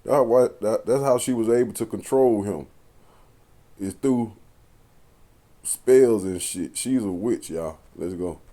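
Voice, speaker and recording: A man's voice: fairly low pitch, slow pace, long pauses, mellow. A man giving casual reaction commentary in a blunt, slangy, accusatory manner.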